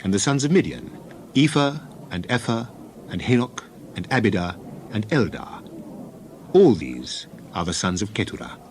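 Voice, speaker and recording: A man's voice: lowish voice, big pitch swings, normal speed, a little hiss or hum. A man narrating dramatized scripture, declaiming it in short, separated phrases.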